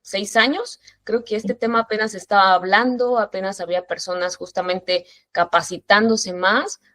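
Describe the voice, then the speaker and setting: A woman's voice: medium pitch, steady tone, moderate pace, a bit bright, no echo. A woman in her thirties hosts a parenting interview show, welcoming families and her guest. She speaks in a mid-range voice that sounds dry and close. Her manner is informal and welcoming. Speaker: host. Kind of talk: friendly interview hosting.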